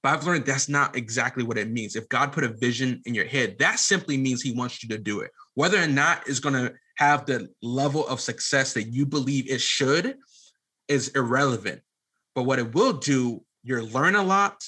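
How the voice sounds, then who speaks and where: A man's voice: medium pitch, normal speed, sharp tone, breathy edge. A man speaking as an interview guest in a casual, colloquial, earnest and self-deprecating way. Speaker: podcast guest, audio drama producer and director.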